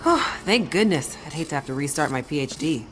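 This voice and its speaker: Lowish-voiced young woman scientist. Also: lively intonation, a little fast, soft background music. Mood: relieved. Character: younger woman scientist, earnest, wry assistant. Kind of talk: dramatic video game acting.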